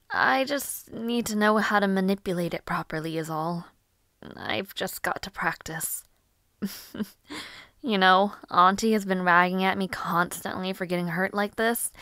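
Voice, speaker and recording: A genteel young noblewoman mage, acted by a woman for an audio drama, speaking slowly in a lowish voice. She is cheerful and headstrong, with old-fashioned, chatty phrasing, recorded close and dry. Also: vocal fry, fairly bright tone, dry recording. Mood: eager, determined, then chatty, mildly reluctant. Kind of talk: scripted audio roleplay drama.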